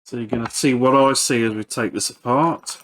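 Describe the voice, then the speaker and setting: A man giving casual hands-on repair commentary as a video host, halting and thinking aloud while he works. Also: mid-range voice, fairly even intonation, a bit bright, rough recording.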